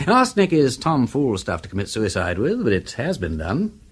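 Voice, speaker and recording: An actor playing a breezy, gallant English aristocrat detective with an upper-class accent, foppish, chatty and self-deprecating, in British radio drama style. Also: male voice, medium pitch, wildly swooping pitch, moderate pace, slightly rough. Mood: breezy, musing. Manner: foppish, chatty, hesitant politeness.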